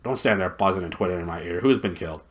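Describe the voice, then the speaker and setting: A man's voice: low voice, rapid-fire. Man doing a cranky deaf old dwarf. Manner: gruff, irritable.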